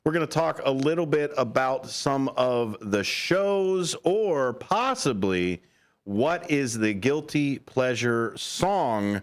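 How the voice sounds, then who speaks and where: Male radio host, playful banter, very lively melodic delivery. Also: medium pitch. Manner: playful, joking, lively intonation. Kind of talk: casual radio chat. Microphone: studio microphone.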